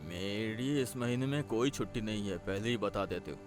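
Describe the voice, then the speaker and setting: Reasonable middle-aged dad acted by a man for animated story, lowish voice, soft music behind. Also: normal speed, close to an everyday voice. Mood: agreeable. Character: calm, supportive middle-aged father of a college girl. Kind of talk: Hindi cartoon story voice acting.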